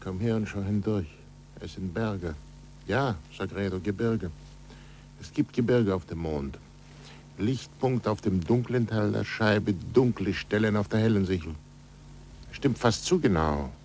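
An actor playing Galileo, a keen, impatient, sardonic scientist, in a 1940s radio play. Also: male voice, lowish voice, a little slow, darkish tone. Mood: rapt. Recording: noisy, humming 1940s radio recording.

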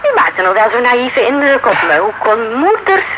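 Tipsy breezy wife, teasing, voice swoops around a lot, radio play, noisy. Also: female voice, fairly high pitch, very animated, a little fast. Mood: teasing, mocking. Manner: playful, teasing, nonchalant. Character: flippant, breezy, tipsy wife, idly mocking. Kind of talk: radio drama acting. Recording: noisy radio drama recording.

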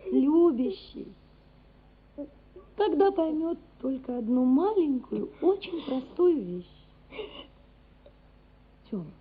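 An actress playing a loving, anguished gentry mother in a radio play, earnest, measured and emotional. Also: sits high. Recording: muffled, phone-like radio play recording.

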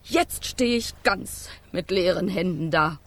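A woman's voice: on the low side, slow pace. A woman playing a feisty, scornful would-be ship's stoker in a children's radio play, blunt and grumbling, with dramatic exclamations. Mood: dismayed.

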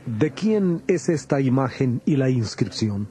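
An actor playing a solemn, firm male teacher with authority, speaking in a measured, declamatory way in a dramatized scripture recording. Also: mid-range voice, very animated, dark tone, faint music behind. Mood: firm, admonishing.

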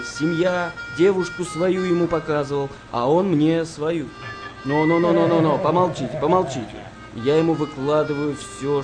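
An actor playing an earthy wartime sailor in a radio play, telling stories in an expressive, colloquial way. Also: male voice, on the high side. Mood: teasing annoyance. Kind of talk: Russian radio play acting, storytelling.

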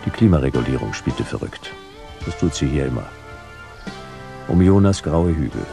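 Very deep, gravelly detective. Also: male voice, moderate pace, over music, exaggerated voice. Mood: brooding narration. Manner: terse, dry, deadpan. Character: world-weary, laconic hard-boiled male private detective. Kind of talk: noir sci-fi radio play acting and narration.

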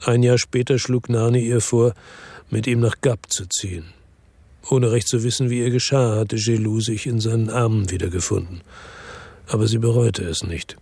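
A man's voice: fairly even intonation, normal speed, dark tone, no room sound. An actor playing a weary hard-boiled investigator who narrates his own story in a radio drama, terse and matter-of-fact. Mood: bitter, resigned. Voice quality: low, gravelly, husky. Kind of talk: scripted first-person radio drama narration. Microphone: close dry studio microphone.

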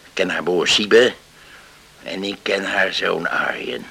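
An actor in a radio play playing a stern, stubborn middle-aged father with a rough voice and a curt, deliberate, unyielding manner. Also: sits low, bright, forceful tone, heavily acted. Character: stern, stubborn island father guarding his daughter.

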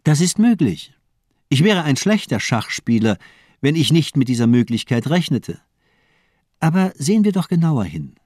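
A man's voice: a little high, very animated, moderate pace, a little mellow, no echo, clean studio sound. An actor playing a murderous, pedantic doctor in a radio crime drama, precise, formal and mocking in his lecturing. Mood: cold, taunting. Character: cold, amused villain doctor, murderous and pedantic.

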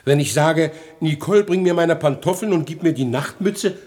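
An actor playing a vain, foolish, middle-aged rich bourgeois in a radio comedy, naive and eager, blustering and exclaiming. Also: male voice, on the high side, normal speed, a little mellow, natural voice. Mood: puzzled, naive. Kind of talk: 1960s German radio comedy acting.

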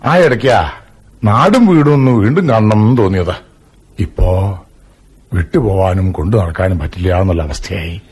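Low-voiced, dignified old Malayali landlord. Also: male voice, lively intonation, moderate pace, long pauses, darkish tone, breathy edge. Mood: reflective. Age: older. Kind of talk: radio drama acting.

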